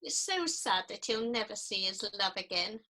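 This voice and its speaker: A woman's voice: medium pitch, animated, normal speed, bright, forceful tone, rough recording. A woman playing an earnest, prim and easily offended counsellor in a radio comedy set in ancient Greece. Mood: sorrowful. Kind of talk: radio play acting.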